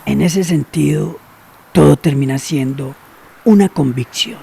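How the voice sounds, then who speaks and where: An actor playing a secretive, cynical older man in a radio drama, who talks slowly and knowingly and drops to near whispers. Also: on the high side, vocal fry, mellow, a little hiss or hum. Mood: sly.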